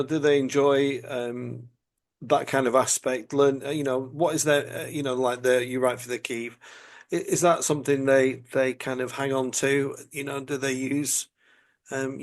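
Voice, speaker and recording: A British man hosting a podcast interview, asking long, halting questions full of stammers and fillers. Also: mid-range voice. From United Kingdom. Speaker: podcast host.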